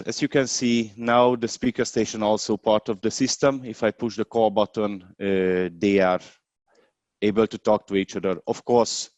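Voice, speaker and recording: A man who is a non-native English speaker demonstrates a product hands-on in a webinar, explaining step by step in a halting way. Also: fairly low pitch, darkish tone, dry recording. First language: not English. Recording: dull, dry webinar video sound.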